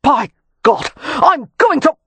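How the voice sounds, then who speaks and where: A narrator voicing a hot-tempered gentleman who shouts his threats in a raised voice. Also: high-pitched, expressive, slow pace, a little hiss or hum, exaggerated voice. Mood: furious. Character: furious young gentleman, commanding.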